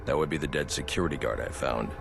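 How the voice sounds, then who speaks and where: An actor playing a grim, stoic vigilante detective in a video game, speaking in a gravelly, terse, deliberate deadpan. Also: male voice, very deep voice. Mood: analytical, grim. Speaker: superhero detective. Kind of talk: video game cutscene acting. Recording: music behind the voice.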